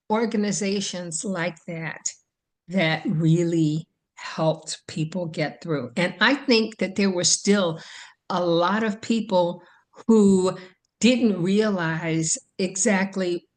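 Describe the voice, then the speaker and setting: Woman podcast co-host, unhurried, thoughtful, hesitant, many ums. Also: lowish voice. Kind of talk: casual chat.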